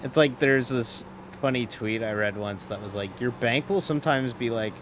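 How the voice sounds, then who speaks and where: A man's voice: medium pitch, slight background noise. A man streaming a sim race, chatting casually and rambling between driving calls, often restarting his sentences. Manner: rambling, self-interrupting, quick to laugh. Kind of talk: casual live-stream chat while racing.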